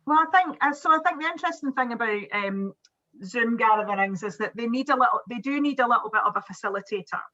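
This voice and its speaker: Bright chatty woman on a video call. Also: fairly high pitch, quick pace, muffled recording. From Scotland. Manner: chatty, many fillers and restarts. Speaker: webinar presenter. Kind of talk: casual online talk.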